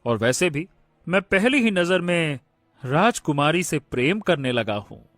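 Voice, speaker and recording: A man playing a gallant young prince in an animated fairy tale, speaking earnestly and politely. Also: on the high side, a little slow, no echo, faint music behind. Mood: earnest, courteous. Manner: earnest, polite, stammers when startled.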